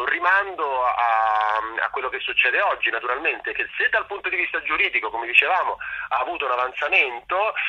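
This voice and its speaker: A man's voice: medium pitch, sharp tone, slightly rough, lo-fi sound. A man, a theatre director, answering questions in a radio interview, hesitant with many fillers and restarts.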